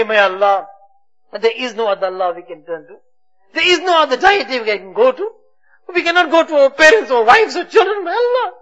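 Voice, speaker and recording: A man leading a prayer, pleading and sobbing, his voice breaking between loud anguished cries and hushed murmurs. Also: very high voice, lo-fi sound. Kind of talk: tearful congregational supplication.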